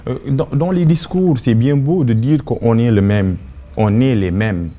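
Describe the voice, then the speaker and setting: A man's voice: mid-range voice, a little slow, dark tone. A man interviewing a guest in a conversational style.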